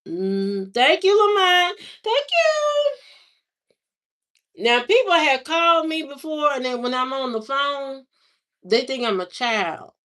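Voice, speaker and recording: A woman hosting a livestream, chatting casually with viewers in a slow, drawling, musing way. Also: sits high, sing-song, fairly bright tone. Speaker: livestream host. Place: lives in Indiana, not from there.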